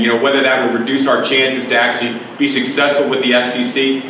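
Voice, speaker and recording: A man speaking as a county commissioner at a press conference, steady and measured. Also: mid-range voice, distant mic, a little hiss or hum. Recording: echoey room, mic from afar.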